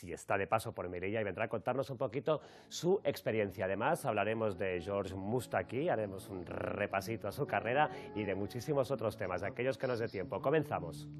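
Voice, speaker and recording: A man with an accent from Spain hosting an interview, wordy and halting as he rephrases his questions. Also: fairly low pitch. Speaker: radio or TV show host. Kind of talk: live interview, introducing guests.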